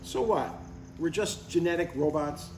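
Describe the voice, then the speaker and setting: A man's voice: on the high side, a little slow, darkish tone, natural voice. A man playing a stubborn but affectionate older father in a live comedy table read, speaking in a matter-of-fact way. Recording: roomy, distant live recording, faint noise.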